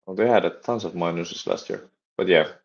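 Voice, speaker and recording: A young man from Finland with a Finnish accent, chatting on a live stream and talking haltingly with many restarts and fillers. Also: low voice, highs cut off. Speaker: livestreaming startup developer. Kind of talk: casual live stream chat.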